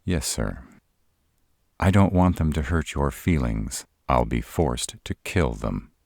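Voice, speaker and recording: A man's voice: a bass voice, expressive, slow pace, vocal fry, a little mellow, no echo, pitched above the performer's own voice, heavily acted. A man voicing a sarcastic young male vampire who is polite but defiant, in a roleplay audio drama with a dry, defensive delivery.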